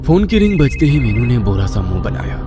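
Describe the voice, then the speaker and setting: A man's voice: medium pitch, sing-song, fairly quick. A man narrating a scripted horror story in a dramatic storytelling style. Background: clear background music.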